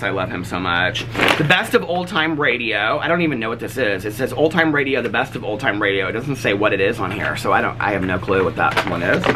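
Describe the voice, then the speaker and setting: An American man chatting casually to camera as a vlogger, in a gushing, easily excited manner. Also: mid-range voice. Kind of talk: casual show-and-tell chat to camera. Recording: clearly noisy home recording with clicks.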